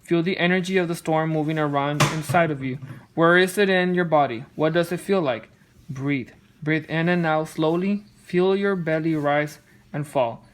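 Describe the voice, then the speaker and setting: A woman reading a children's story aloud and offering casual, gentle encouragement as a parent. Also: low voice, moderate pace, a little hiss or hum. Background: music.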